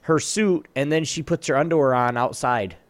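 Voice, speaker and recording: A man's voice: medium pitch, normal speed, dry recording. Male podcast co-host, casual and slangy, blunt rambler, very dry recording. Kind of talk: casual chat. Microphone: online voice chat mic.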